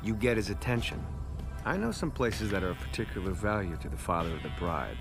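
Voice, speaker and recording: A man's voice: low voice, animated, a little fast, creaky, over music. A man playing a scheming corporate executive in a video game, polite and wry like a salesman. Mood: persuasive. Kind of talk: video game cutscene acting.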